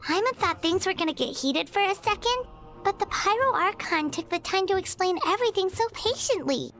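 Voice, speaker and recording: Tiny excitable female game companion, squeaky, childlike, very animated, music behind. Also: fairly quick. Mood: relieved, impressed. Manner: refers to self in third person. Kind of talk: video game character acting.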